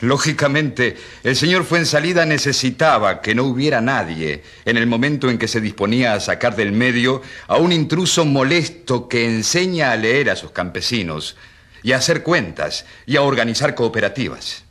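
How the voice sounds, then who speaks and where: An actor playing an ironic, defiant rural priest, eloquent and emphatic, in a radio drama. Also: male voice, medium pitch, expressive, moderate pace, fairly bright tone, lightly acted. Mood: sardonic.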